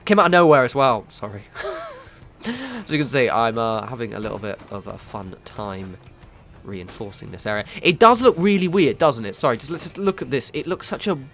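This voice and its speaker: A man's voice: mid-range voice, expressive, normal speed, fairly bright tone, faint music behind. A man with a stuffy nose from a cold, giving rambling, casual gameplay commentary with plenty of self-corrections and offhand swearing. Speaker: gaming video creator.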